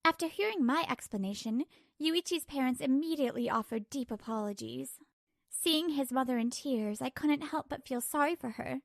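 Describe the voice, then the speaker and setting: A woman's voice: sits high, normal speed, gravelly, no echo, studio quality. A woman reading a scripted first-person story as narrator and lead character of a manga dub.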